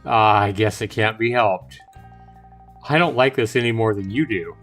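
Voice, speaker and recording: A man acts out a prickly young woman in a visual novel. She is blunt, snarky and dismissive, giving ground only grudgingly. Soft music sits behind the voice. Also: lowish voice, moderate pace. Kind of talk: visual novel character read aloud.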